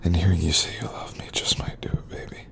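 A man's voice: deep voice, fairly even intonation, fast talker, gravelly, fairly bright tone, full character voice. A man playing a cold, domineering, cruel lover in a whispered adult audio roleplay, speaking close and taunting. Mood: possessive.

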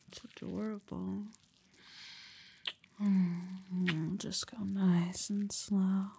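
Breathy woman, ASMR whisper, slow intimate delivery, mellow tone, close mic. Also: medium pitch, no echo. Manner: soft, slow, teasing whisper.

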